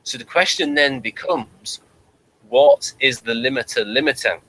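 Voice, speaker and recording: A man's voice: mid-range voice, lively intonation, measured pace, a bit bright, rough recording. A man co-hosting a review show in casual discussion, hesitant and repeating words as he thinks aloud.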